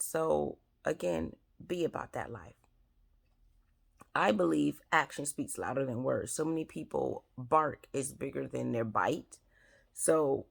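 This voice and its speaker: A woman hosting her own advice show, speaking with a raspy, scratchy throat in an earnest, repetitive way with many fillers. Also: deep voice. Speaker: host. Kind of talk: advice talk to camera.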